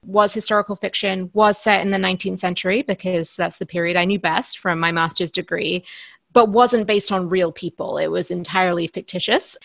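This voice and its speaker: A woman's voice: lowish voice, fairly bright tone, dry recording. A woman in her thirties, an author from Northern Ireland, answering interview questions in an articulate, earnest way with small restarts. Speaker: author guest. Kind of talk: podcast interview.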